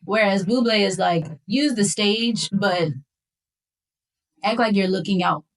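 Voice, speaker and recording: A young woman from West Philadelphia chats easily about her craft. Her delivery is relaxed, with plenty of fillers, at a middling pitch. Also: unhurried, low-quality recording. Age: 20s. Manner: relaxed, lots of fillers like um and you know. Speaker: guest singer. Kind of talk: casual conversation.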